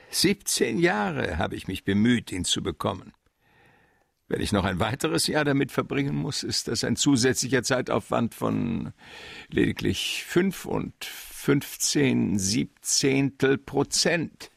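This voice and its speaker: Radio crime drama: fat, pompous middle-aged mastermind, husky and gravelly, swooping melodic delivery, courteous menace, close dry sound. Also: male voice, mid-range voice, no echo, heavily acted. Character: fat, suave, calculating middle-aged male crime boss.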